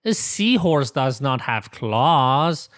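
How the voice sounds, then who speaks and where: A woman narrates a children's picture book at a slow pace, carefully enunciating each short sentence. Her pitch sits on the low side and swings expressively up and down. The sound is dry and close. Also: sing-song, no echo. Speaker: children's story reader.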